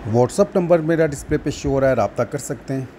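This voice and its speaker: A Pakistani man speaks in a soft-edged, mellow voice at a middle pitch. He presents goods as a seller hosting his own video, matter-of-fact and leaning on set phrases. Faint ambient noise sits behind him. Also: brisk. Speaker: wholesale market seller and channel host. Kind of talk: sales pitch narration over product demonstration.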